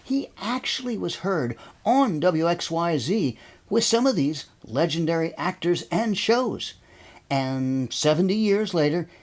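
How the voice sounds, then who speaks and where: A man's voice: fairly high pitch, a little mellow. A man hosting, delivering a scripted introduction to a radio drama program. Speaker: host.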